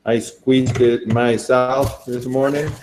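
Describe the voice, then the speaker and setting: A man from Argentina, demonstrating a recipe in a casual, enthusiastic way while thinking out loud. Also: mid-range voice, fairly even intonation, slow pace, a little hiss or hum, poor audio. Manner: chatty and enthusiastic, thinking aloud with fillers. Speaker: cooking demonstrator.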